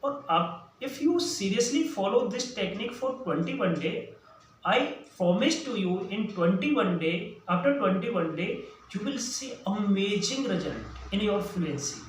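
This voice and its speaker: A man's voice: sits high, expressive, measured pace. A man from central India with an Indian accent, a Hindi native speaker, teaching a spoken English lesson with many fillers and restarts. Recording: echoey room, distant mic, faint noise.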